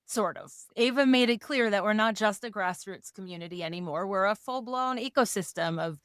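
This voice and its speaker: A woman co-hosting a podcast in a casual, playful way, slightly hoarse and given to jokes and lists. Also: medium pitch, brisk, a bit bright, no room sound, studio quality. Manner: playful, jokey, talks in lists. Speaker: podcast co-host. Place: Rochester area.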